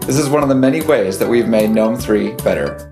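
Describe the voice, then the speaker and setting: A man reads a scripted tutorial voice-over in an even, steady way. Guitar music plays clearly behind him. The sound is roomy, like a video played back in a hall. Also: mid-range voice, a little fast. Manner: even, read-aloud delivery.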